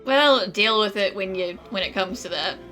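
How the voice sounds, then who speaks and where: A woman's voice: medium pitch, expressive, moderate pace, music in the background. A woman commenting on a game as she plays, reacting casually in a wry, joking way. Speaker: gamer commenting on a playthrough.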